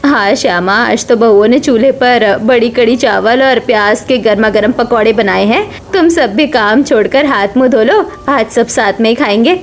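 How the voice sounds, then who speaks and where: Hospitable farm-family housewife, fairly high female voice, warm cartoon delivery acted by a woman. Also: moderate pace, few pauses, natural voice. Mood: welcoming. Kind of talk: cartoon story voice acting.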